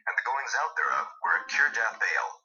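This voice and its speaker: A man narrating scripture from a script in a solemn, measured, formal manner. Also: mid-range voice, sing-song, bright, forceful tone. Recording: dull, lo-fi radio-like sound. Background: music.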